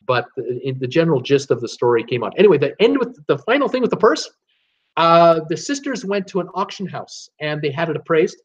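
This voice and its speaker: A man's voice: a little high, sing-song, brisk, breathy edge. A man, telling stories casually on a livestream in a rambling, hesitant way, repeating words as he thinks aloud. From Canada. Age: 62, early sixties. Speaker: host of a cruise travel livestream. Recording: dull, lo-fi livestream audio.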